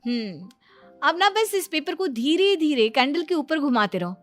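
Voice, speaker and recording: A woman's voice: high voice, big pitch swings, moderate pace, faint music behind. A woman playing a confident, boastful young daughter-in-law in a cartoon moral story, explaining things with self-assurance.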